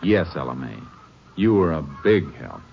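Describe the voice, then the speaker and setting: A man acting a calm, methodical Texas Ranger investigator in an old radio drama, measured and matter-of-fact, with an American accent. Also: very deep voice, vocal fry, exaggerated voice. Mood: calm, questioning. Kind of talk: 1950s radio drama acting and first-person narration. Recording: muffled vintage radio recording, faint music.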